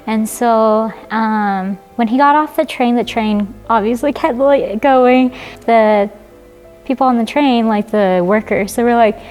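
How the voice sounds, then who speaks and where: A woman in her twenties, from China, gives a personal testimony. Her voice sits fairly high and she often pauses on fillers. Faint music plays under her words. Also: a little mellow, rough recording. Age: 20s. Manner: halting, many fillers. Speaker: interviewee.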